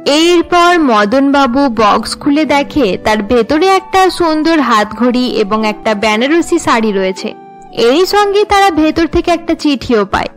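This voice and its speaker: A woman's voice: sits high, animated, a little slow, soft background music. A woman giving scripted narration for a cartoon story.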